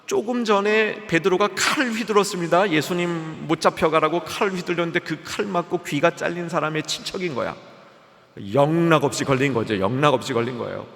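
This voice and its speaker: A male pastor preaching in an animated way, acting out the voices in his story. His voice has a gravelly edge, and it echoes with a long reverb through a large hall. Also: fairly high pitch. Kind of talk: sermon.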